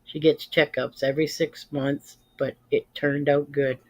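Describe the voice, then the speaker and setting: A woman's voice: deep voice, flat delivery, unhurried. Woman, fifties, livestream host, casual chat, plain-spoken, emphatic delivery. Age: 50s. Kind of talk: casual chat with live viewers.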